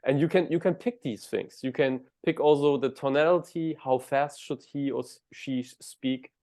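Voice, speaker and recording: A man's voice: medium pitch, normal speed, darkish tone. A man from Germany with a German accent, a guest in an interview, explaining things step by step and often restarting words.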